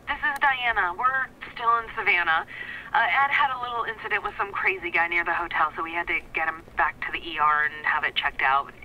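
A woman's voice: mid-range voice, pushed and bright, low-quality recording. An actress playing a worried, loving mother in a video game, heard through answering machine playback. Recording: answering machine recording with faint noise.

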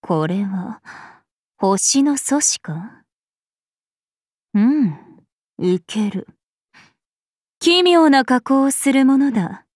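Slow, regal, ancient female spirit. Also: sits high, animated, a little mellow, no room sound, clean studio sound, exaggerated voice. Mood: calm, appraising. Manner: stately, imperious, archaic phrasing. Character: regal, aloof ancient female planet spirit, calm and haughty. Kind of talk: anime game character voice lines.

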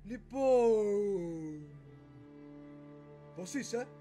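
Man doing a high-voiced bossy mask spirit. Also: lively intonation, warm tone, faint music behind. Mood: pleading, earnest. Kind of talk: cartoon parody fan dub.

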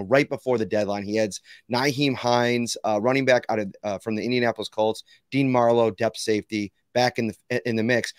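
A man in his thirties hosting a sports podcast over a video call, his voice slightly hoarse, upbeat and quick to laugh. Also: mid-range voice, dry recording, clean studio sound. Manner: upbeat, joking, laughs often. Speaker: podcast host. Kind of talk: casual sports podcast chat. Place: home room with sports memorabilia, indoors.

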